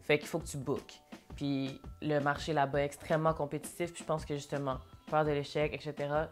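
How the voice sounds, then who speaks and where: A Québécois woman with a notably low voice talks casually in an interview and slips English phrases into her French. Faint music plays quietly behind her. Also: level tone, a little fast. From Montréal, Québec. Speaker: interview guest, singer and dancer.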